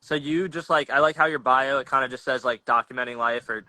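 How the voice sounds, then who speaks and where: Young male podcast host, slangy and easygoing, forceful bright tone, close dry sound. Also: medium pitch, fairly even intonation, fast talker, no room sound. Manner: laid-back, slangy, rambling with restarts. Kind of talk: casual chat.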